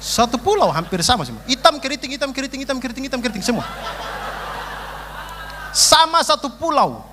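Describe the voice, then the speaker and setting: Guy w eastern indonesian accent doing standup, high voice, big echoey hall, bit of noise. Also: very animated, brisk, long pauses. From East Nusa Tenggara, eastern Indonesia. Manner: repeats phrases for emphasis. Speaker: stand-up comedian. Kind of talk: stand-up comedy routine. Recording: reverberant hall, faint noise.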